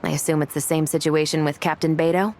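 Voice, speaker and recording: A woman's voice: low voice, little pitch movement, fast talker, a little mellow, a little hiss or hum. An actress playing a serious, cautious woman police investigator in a video game, speaking formally and in a businesslike way. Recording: music behind the voice.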